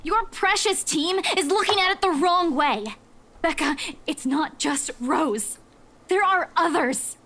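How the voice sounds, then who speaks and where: A very high-voiced young woman, stubborn and desperate, pleads her case in a visual novel, played by an actress. She pushes on insistently. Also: takes it slowly, fairly bright tone, a little hiss or hum, full character voice.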